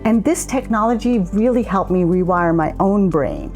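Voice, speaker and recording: A woman co-founder giving a measured, explanatory account in a scripted promotional conversation. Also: mid-range voice, expressive, darkish tone, soft background music. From immigrant. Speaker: co-founder of a coaching method.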